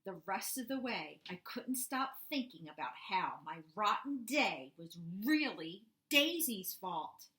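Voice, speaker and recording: A woman's voice: mid-range voice, sing-song, slow pace, hardly any pauses, bright, forceful tone, exaggerated voice. A woman voicing a grumpy young boy cow, telling a children's storybook in an indignant, dramatic way. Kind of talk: first-person children's storybook narration.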